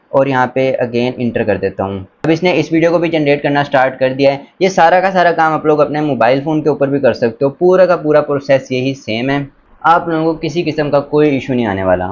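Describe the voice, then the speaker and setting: A man talks viewers through a screen tutorial casually and enthusiastically, dropping in English words. His voice is middling in pitch, on a dull recording with faint noise behind it. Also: a little mellow. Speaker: tutorial presenter.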